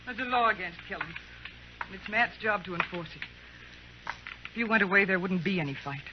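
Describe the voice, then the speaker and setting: A woman's voice: medium pitch, a little fast, natural voice. Muffled noisy old radio western, actress doing a worried frontier woman, pleading, earnest. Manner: earnest, imploring.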